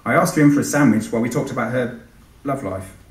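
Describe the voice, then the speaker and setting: A man's voice: medium pitch, quick pace, natural voice. A man voicing a floundering, defensive young-ish advertising man in an Australian accent, hesitant in his replies. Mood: flustered. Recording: echoey hall, distant microphone.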